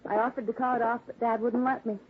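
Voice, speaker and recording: A friendly young hostess played by an actress in fifties radio drama, playful and eager. Her voice sits high, and the old narrow broadcast sound carries clear static. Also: level tone, fast talker, close to an everyday voice. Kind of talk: 1950s radio drama acting. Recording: very noisy, narrow-band old radio recording.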